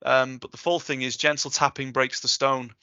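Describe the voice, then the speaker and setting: Jokey reassuring guy, bright voice, kinda muffled video call sound. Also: mid-range voice, level tone, normal speed, smooth, no echo. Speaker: guest speaker, Welsh tutor. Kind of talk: casual Q&A chat.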